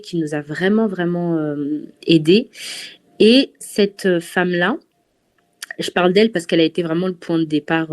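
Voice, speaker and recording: A woman from France giving a personal testimony to a church gathering, pausing often and restarting her sentences. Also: on the low side, darkish tone. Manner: hesitant, many fillers and restarts.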